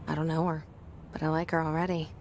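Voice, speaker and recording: An actress playing a guarded young woman who leads a secret agency, speaking her inner thoughts quietly and introspectively. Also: low voice, flat delivery, fast talker, slight background noise. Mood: wary. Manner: quiet, introspective, half-whispered. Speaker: game protagonist. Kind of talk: inner monologue in game cutscenes. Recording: game score under voice.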